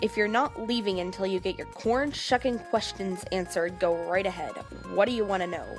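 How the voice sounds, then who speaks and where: A woman's voice: medium pitch, moderate pace, music in the background, close to an everyday voice. Brusque, irritable female chef, played by a woman. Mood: grudging. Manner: brusque, sarcastic, barking folksy curses. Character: gruff, irritable, bossy female head chef with contempt. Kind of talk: audio drama character acting.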